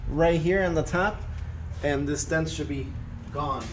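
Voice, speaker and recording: A man's voice: fairly high pitch, unhurried, noisy background. A man casually narrating a hands-on tool demo to viewers while working on a car in a garage, recorded on a head-mounted camera. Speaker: vlogger reviewing a tool. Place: garage with a car, door open to outdoors.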